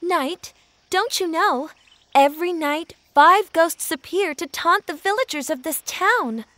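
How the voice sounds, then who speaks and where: A woman playing an awestruck villager in an animated fairy tale, dramatic and full of wonder, with music behind her. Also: very high-pitched, unhurried, a little hiss or hum, exaggerated voice. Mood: ominous. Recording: voice over loud background music.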